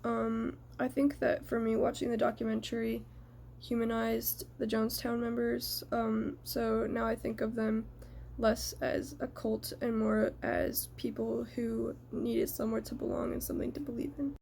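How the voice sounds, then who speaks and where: A young woman from the United States giving a prepared personal reflection in a measured, earnest way. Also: a little high, little pitch movement, vocal fry, a little mellow, a little hiss or hum.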